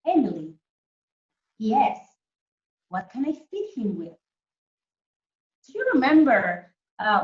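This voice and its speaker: Woman, primary teacher, slow pace, fairly high pitch, storytelling for children, asks questions, roomy dull recording. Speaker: primary school teacher reading a story to pupils.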